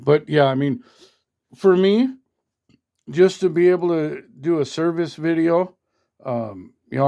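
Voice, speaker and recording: A man's voice: fairly high pitch, creaky, mellow. A man giving a casual hands-on product demo in a folksy, colloquial, mildly salty way, recorded on a handheld phone at a workbench. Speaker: product reviewer, radio hobbyist. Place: at a workbench indoors.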